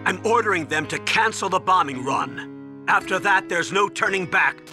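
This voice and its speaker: An earnest military commander in a game cutscene, loyal and serious. His voice sits fairly high for a man, over clear background music. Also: sing-song, normal speed. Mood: remorseful, resigned. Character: loyal, earnest army colonel, a remorseful mission commander. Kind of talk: dramatic video game acting.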